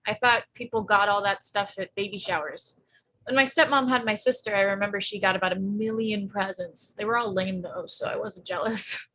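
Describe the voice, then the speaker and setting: A woman's voice: mid-range voice, fairly even intonation, moderate pace, sharp tone, rough recording. A woman playing a blunt, wisecracking pregnant teenage girl in a script table read. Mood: curious.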